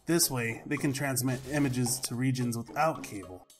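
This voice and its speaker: A man's voice: medium pitch, moderate pace, over music, lightly acted. A man voicing an eager, talkative young mercenary in an amateur video game dub, casual and explanatory. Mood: eager, explaining.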